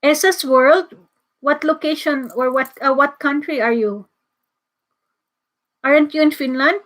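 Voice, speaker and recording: A woman's voice: high voice, unhurried, a bit bright, low-quality recording. A middle-aged woman from the Philippines with a Filipino accent, whose first language is Bisaya, hosting a casual livestream chat, reading comments and often laughing and restarting her sentences. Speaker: livestream host.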